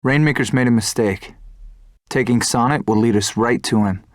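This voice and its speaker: An actor playing a determined young fighter pilot hero in a video game, earnest and resolute, recorded dry over music. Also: male voice, mid-range voice, moderate pace, no room sound, natural voice. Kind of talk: video game cutscene acting and dramatic monologue.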